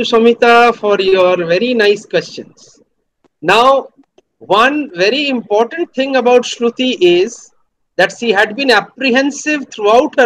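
Very high-voiced middle-aged man, formal. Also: a bit bright, slight background noise, rough recording. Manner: formal, emphatic, speech-like. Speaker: program host, teacher. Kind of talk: video call address. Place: small home room indoors. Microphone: headset on video call.

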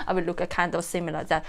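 A woman's voice: on the low side, level tone, brisk, gravelly, fairly bright tone, a little hiss or hum. Chatty woman with a Chinese accent. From China. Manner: chatty, repeats and restarts phrases, non-native grammar. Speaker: vlogger. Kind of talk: casual travel tips to camera. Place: living room, seated on a leather sofa. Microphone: camera in front, framed from the chest down.

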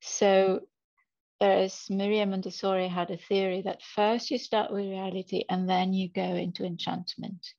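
A woman's voice: medium pitch, level tone, moderate pace, vocal fry, darkish tone, dry recording. A middle-aged woman, a translator, answering a panel question on a video call from her home study, thoughtful and hesitant. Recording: muffled video call audio.

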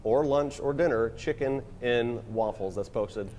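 A man's voice: medium pitch, a little slow, darkish tone, a little hiss or hum. A man in his forties hosting a playful home cooking segment in his kitchen, chatty with joking asides, filmed by a counter camera. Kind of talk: upbeat home cooking demo. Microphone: wide camera on the counter.

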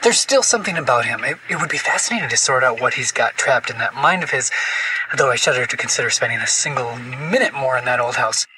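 A man's voice: medium pitch, animated, fast, bright, forceful tone, far from the mic, a little hiss or hum, poor audio. A man playing an anxious, haunted intellectual in an audio horror drama, stammering and confiding in agitation. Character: anxious, haunted, intellectual husband fearing madness.

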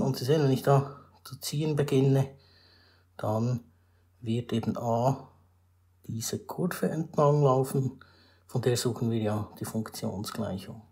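A male math teacher with a Swiss German accent explains a math problem step by step over a screen recording, with many pauses and restarts. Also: medium pitch, darkish tone. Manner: formal address to viewers, rising emphasis on new steps.

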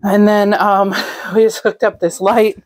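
A young woman talks slowly through a walkthrough of a gutted camper she is fixing up. She is relaxed and chatty, with plenty of ums. Her voice sits at a middle pitch, and it is caught on a handheld camera inside the trailer. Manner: relaxed, chatty, with frequent ums and light laughs. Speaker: vlog host. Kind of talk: casual walkthrough narration.

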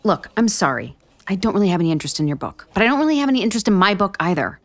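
An actress playing a flustered, self-deprecating author in a romantic comedy audio drama, with an American accent and halting, deadpan asides. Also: female voice, mid-range voice, lightly acted. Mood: awkward, exasperated. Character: flustered, sardonic, self-deprecating woman author on book tour.

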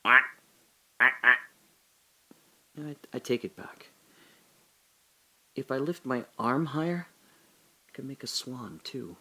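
An actor playing a lone young man trapped in the dark, talking to himself in a restless, rambling way. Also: medium pitch, animated, a little slow, breathy edge, heavily acted. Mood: hushed. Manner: restless, talking to himself, swings from hushed to loud. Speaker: radio drama actor. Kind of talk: solo audio drama monologue.

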